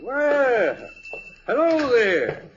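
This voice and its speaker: A man playing a kindly, humble storekeeper in an old radio show, gentle, hesitant and husky. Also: very high voice, big pitch swings, extremely slow, a little hiss or hum, heavily acted. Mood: neighborly. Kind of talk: 1950s radio drama acting.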